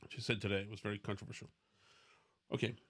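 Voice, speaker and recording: A man hosting a podcast in casual, opinionated banter, excitable and freely swearing. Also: lowish voice, quick pace. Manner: profane, excitable. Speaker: podcast host.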